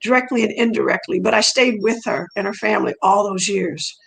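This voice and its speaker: Creaky-voiced middle-aged woman, native French speaker. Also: mid-range voice, a little fast, slight background noise, low-quality recording. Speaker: track and field coach, interview guest. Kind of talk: conversational personal storytelling.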